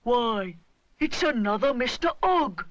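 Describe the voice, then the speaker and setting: A woman's voice: on the high side, very animated, slow, no room sound, muffled recording. A woman voicing an anxious young male animal, the hero's friend in a children's storybook, with urgent exclamations. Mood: alarmed.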